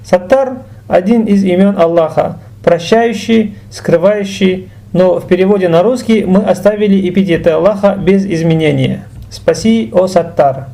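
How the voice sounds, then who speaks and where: A man teaching a language, explaining and translating phrases in a deliberate, instructional way. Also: high voice, vocal fry, mellow.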